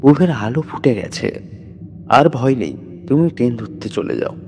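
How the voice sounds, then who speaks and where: Man acting grave old widow in deep reverb. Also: sing-song, normal speed, mellow. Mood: gentle, caring. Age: older. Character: kindly, sorrowful elderly widow recounting village hauntings. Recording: long reverb, noisy background.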